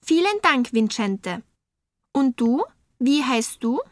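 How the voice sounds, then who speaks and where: A woman interviewing guests in a studio for a scripted language-course dialogue, asking her questions slowly and with careful enunciation. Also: sits high, big pitch swings, dry recording.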